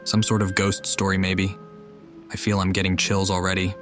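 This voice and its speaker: Game hero, male actor: very low, flat delivery, reserved, wary, understated. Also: moderate pace, natural voice. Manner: understated, guarded. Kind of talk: video game voice acting. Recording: faint music behind the voice.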